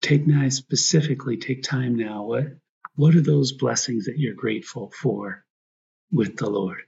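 A man guiding a prayer meditation as a priest, reflective and prayerful, speaking in short phrases with pauses. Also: mid-range voice, vocal fry, darkish tone, slightly rough, lo-fi sound.

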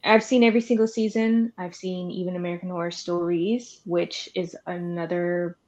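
A woman's voice: lowish voice, level tone, moderate pace, a little mellow. Chatty woman on dull lo-fi audio. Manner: chatty, informal, wry opinionated asides. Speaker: podcast co-host. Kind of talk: casual chat about horror films.

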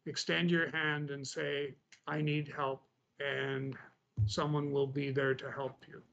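Flat-toned earnest man guest, muffled. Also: a little high, gravelly. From Canada. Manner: halting, earnest. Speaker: guest, mentor. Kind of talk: casual chat.

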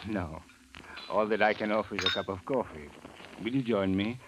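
Low-voiced, courteous foreign police chief played by a man. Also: close to an everyday voice. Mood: courteous, tentative. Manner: formal, hesitant, deliberate phrasing. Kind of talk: 1950s radio detective drama acting. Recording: old radio, faint noise.

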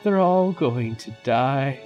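A dying old park founder grieves in a fan audio drama, played by a man. His words come out slowly and haltingly, each phrase drawn out. Music plays softly behind him. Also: mid-range voice, animated. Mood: grieving. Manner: slow, faltering, weak.

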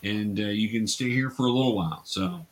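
Man game master, halting and patient. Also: fairly low pitch. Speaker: game master and show host. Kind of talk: casual explaining while running a tabletop game. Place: remote video call.

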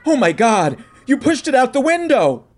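A male actor playing an excitable, catty and easily frightened sidekick in a campy audio comedy drama. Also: very high voice, moderate pace, soft background music. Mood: panicked. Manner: theatrical, gushing, squeamish.

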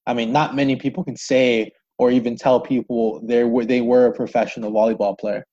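Dude hosting a podcast, mellow dark voice, asks questions kinda loose with lots of "you know". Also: male voice, medium pitch, flat delivery, brisk, muffled recording. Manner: friendly, loose, many "you know" fillers. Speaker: podcast host.

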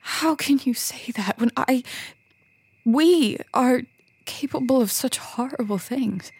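An actress voicing a frightened, guilt-ridden young female vampire in a radio drama, hesitant and breathy. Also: sits high, takes it slowly, a little mellow, dry recording, exaggerated voice. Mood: distraught, scared. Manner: hesitant, fragile. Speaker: actress playing Christine.

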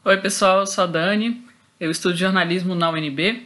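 A woman's voice: on the low side, fairly even intonation, brisk, fairly bright tone. A young woman from Brasília, the podcast's producer and editor, introducing herself in a casual, joking way. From Brasília, Brazil. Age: young adult.

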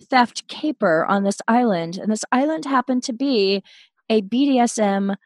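A woman in her late thirties, casually telling a story as a podcast guest from her bedroom, chatty and quick to laugh. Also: on the high side, lively intonation, no room sound. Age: 30s. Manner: chatty, giggly, self-deprecating.

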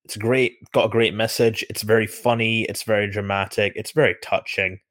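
A man with a fairly low voice sums up his view of a film in a relaxed podcast chat. The sound is dry and close, with no room around it. His delivery is easygoing and lightly teasing. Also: moderate pace, dry recording. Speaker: podcast co-host. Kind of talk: casual film discussion.

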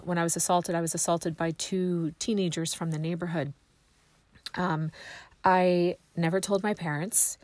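A woman with a raspy, hoarse voice from a cold, leading a prayer and then sharing her personal testimony softly and hesitantly. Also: on the low side, dry recording. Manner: soft, hesitant, full of ums and restarts.

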